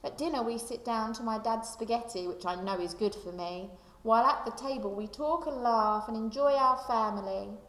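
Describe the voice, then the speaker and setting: A woman's voice: a little high, moderate pace, a bit bright. A woman, a primary school teacher, reading a children's book aloud and speaking directly to young listeners. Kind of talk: expressive children's storybook read-aloud with reflective questions. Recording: echoey room with long reverb.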